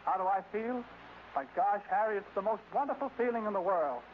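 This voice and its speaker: Casting: gushing lovestruck young man for 1930s radio ad, high-pitched, heard through thin, tinny old recording. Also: lively intonation, brisk, a little hiss or hum. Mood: gushing, dreamy. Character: dreamy, lovestruck young fellow, gushing and bashful. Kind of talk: 1930s radio advertisement skit.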